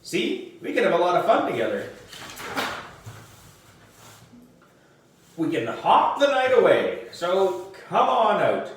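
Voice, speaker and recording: A man's voice: high voice, wildly swooping pitch, normal speed, slight background noise, voice pushed up. A man voicing an anxious, defensive schoolboy in a children's storybook, emphatic and pleading. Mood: coaxing. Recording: roomy echo, distant microphone.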